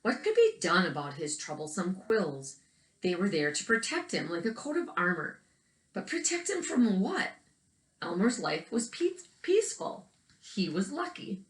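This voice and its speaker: A woman reads a children's picture book aloud expressively, pausing to chat about the pictures as if to young children. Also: medium pitch, sing-song. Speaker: read-aloud storyteller. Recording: roomy, echoing indoor recording.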